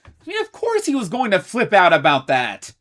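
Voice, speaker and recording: Man doing an earnest serious teen boy, raised pitch, storybook reading. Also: huge pitch swings, normal speed, a bit bright, full character voice. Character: earnest teenage boy student, serious and dejected.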